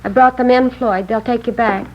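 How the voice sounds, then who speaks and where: Through a noisy, dull old radio recording comes an actress playing a worried sister. Her voice is fairly high and subdued. She speaks in short, hesitant phrases, in plain radio-drama style. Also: fairly even intonation, normal speed, natural voice. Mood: gentle, sad. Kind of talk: 1950s radio drama acting.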